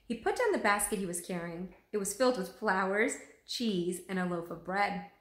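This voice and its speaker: A woman reading a children's story aloud in an animated, expressive way. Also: mid-range voice, moderate pace. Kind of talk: expressive storybook read-aloud with character voices. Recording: roomy echo.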